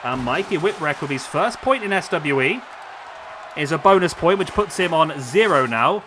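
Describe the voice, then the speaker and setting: Hoarse man calling game action. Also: a little high, normal speed. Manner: chatty, excitable calls over the action. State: losing his voice. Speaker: game commentator. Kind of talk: play-by-play wrestling game commentary. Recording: loud game crowd noise behind. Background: video game crowd and arena sound.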